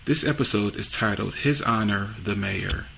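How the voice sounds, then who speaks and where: A man's voice: on the low side, moderate pace, gravelly, bright, forceful tone, slight background noise. A man hosting a podcast, giving an informal, unpolished introduction. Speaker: podcast host. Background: music under the voice.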